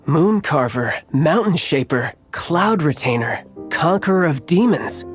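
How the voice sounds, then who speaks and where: Suave, sly schemer over music. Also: male voice, fairly high pitch, lively intonation, measured pace. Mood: measured, knowing. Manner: theatrical, smooth, teasing, formal phrasing. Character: suave, sly male Fatui agent, polite schemer. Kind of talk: scripted video game character dialogue. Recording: game music behind the voice.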